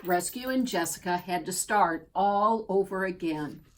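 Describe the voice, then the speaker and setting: A woman reading a children's picture book aloud as a storyteller, expressively and deliberately, drawing out key words. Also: fairly low pitch, poor audio. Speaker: storybook reader.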